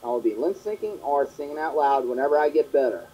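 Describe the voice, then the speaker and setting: A young man hosting his own fan question show, talking in a rambling, earnest way that repeats itself, his voice hoarse and partly gone from a long cough. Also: fairly high pitch, lively intonation, a little fast, mellow. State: sore throat, partly lost voice from a long cough. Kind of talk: casual monologue answering fan questions. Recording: dull lo-fi home recording.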